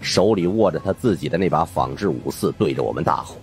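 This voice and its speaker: Very deep-voiced male storyteller. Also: animated, a little fast, soft background music. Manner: colloquial storyteller delivery. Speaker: audiobook narrator. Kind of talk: scripted first-person story narration.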